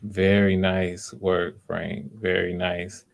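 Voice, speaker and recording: A man's voice: low voice, little pitch movement, slow pace, creaky. A man hosting voice auditions on a livestream, talking casually in an informal, encouraging way and often repeating phrases. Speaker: host running voice auditions.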